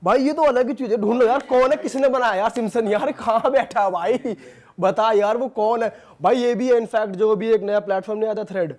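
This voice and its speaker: A man with a distinctly high voice. His tone is mellow and his speech runs fast. He chats casually, in the role of a podcast co-host. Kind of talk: casual chat.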